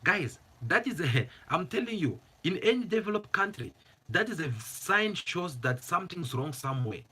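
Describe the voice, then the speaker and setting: A man's voice: high voice, very animated, normal speed, pushed and bright, husky. A man hosting his own commentary channel, speaking English as a second language in a passionate, emphatic way and repeating phrases for stress. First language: not English. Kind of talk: opinionated vlog commentary.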